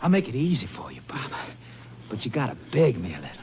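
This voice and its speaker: A man's voice: medium pitch, wildly swooping pitch, fast. An actor playing a sadistic young male killer in an old radio crime drama, taunting and sneering. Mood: cold taunting. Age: young adult. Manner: taunting, sneering, cackling laughter. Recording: muffled, very noisy old radio recording.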